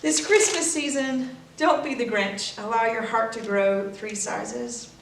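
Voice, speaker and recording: Animated woman storyteller in an echoey room. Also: fairly high pitch, measured pace, slight background noise. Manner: dramatic, emphatic storytelling. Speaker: pastor reading a children's story. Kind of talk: expressive storybook read-aloud in rhyme. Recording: roomy echo, distant microphone.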